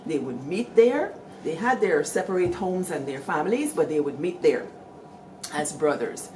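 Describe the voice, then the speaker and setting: A middle-aged Guyanese woman hosting, telling village stories in a lively, animated way and calling out to her listeners. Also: low-pitched, normal speed, noisy background. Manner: lively, animated storytelling with call-outs to listeners. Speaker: storyteller host. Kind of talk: storytelling talk.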